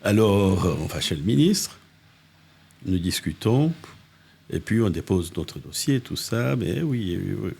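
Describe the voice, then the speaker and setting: An older man from Congo, a mayor telling animated anecdotes in a casual interview, with restarts and asides, recorded on a living-room podcast set. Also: sits low, measured pace, creaky, slightly rough. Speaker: mayor, political guest.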